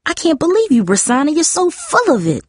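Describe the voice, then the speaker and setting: A woman's voice: mid-range voice, brisk, darkish tone, natural voice. A woman voicing a hot-tempered, defensive teenage girl in an audiobook, emphatic and indignant. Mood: angry.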